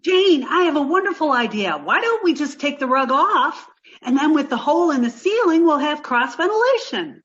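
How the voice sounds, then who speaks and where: A woman's voice: high voice, very animated, moderate pace, muffled recording. A woman playing a sweet, dim-witted young roommate in an old-time radio sitcom, naive and literal-minded. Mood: breezy, naive.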